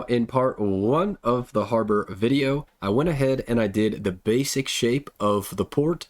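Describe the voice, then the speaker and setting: A man with a hoarse, slightly nasal voice, hosting a game building channel in an upbeat, chatty walkthrough. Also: medium pitch. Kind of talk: casual gameplay commentary.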